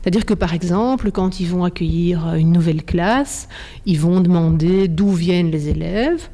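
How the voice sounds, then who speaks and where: A middle-aged woman with a low, mellow voice. She speaks in a measured, reflective way, pausing with fillers and restarting phrases as she works through her point in a studio interview. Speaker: interview guest, teacher. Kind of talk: thoughtful interview talk.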